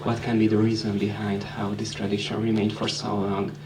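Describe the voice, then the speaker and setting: A man, a film critic, asking the filmmakers measured, hesitant questions at a festival. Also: fairly low pitch, monotone, background noise. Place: festival hall with audience. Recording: distant mic in a crowded hall.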